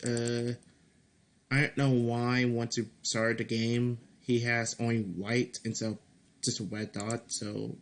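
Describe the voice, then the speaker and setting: A man with a medium-pitched voice talks through his game in a casual devlog. His delivery is slow and halting, often restarting phrases and repeating words. He drops in mild swearing for emphasis. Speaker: game developer making a devlog. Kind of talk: casual screen-recorded commentary, reading and answering feedback.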